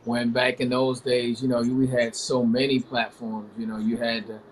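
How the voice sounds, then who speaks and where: A man's voice: medium pitch, flat delivery, moderate pace, a little hiss or hum, low-quality recording. A man who is a guest R&B singer, talking casually in an interview and rambling with many fillers.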